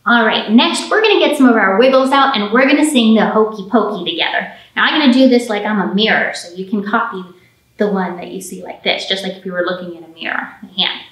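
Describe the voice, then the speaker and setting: A woman's voice: mid-range voice, quick pace, fairly bright tone. A woman in her thirties leading a storytime for small children, speaking in a simple, playful, encouraging way. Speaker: children's library storytime host. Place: medium-large indoor library room with painted mural. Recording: roomy indoor echo.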